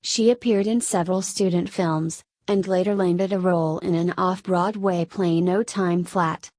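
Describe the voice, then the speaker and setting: A woman reading an encyclopedia article aloud in an even, measured way, pausing at each date. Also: fairly low pitch, no room sound. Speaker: article reader. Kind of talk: scripted encyclopedia narration.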